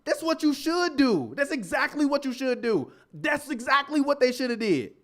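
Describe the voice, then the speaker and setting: A man's voice: very high voice, very animated, moderate pace, fairly bright tone. A man around thirty, a podcast co-host, ranting heatedly and repeating himself with constant profanity. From United States. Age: 30s.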